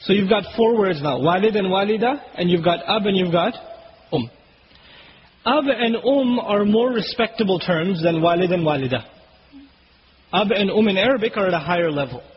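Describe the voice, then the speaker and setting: Male preacher, native Urdu speaker, high voice, teaching Arabic word meanings; dull lo-fi recording with faint hiss. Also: lively intonation. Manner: explains word meanings slowly, recites Quranic Arabic quickly. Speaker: Islamic preacher. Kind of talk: religious lecture.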